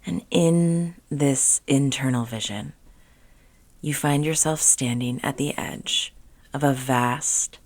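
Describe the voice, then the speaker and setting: A woman leading a soothing guided meditation in a hushed, gentle way with deliberate pauses and soft phrase endings. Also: rumbling low.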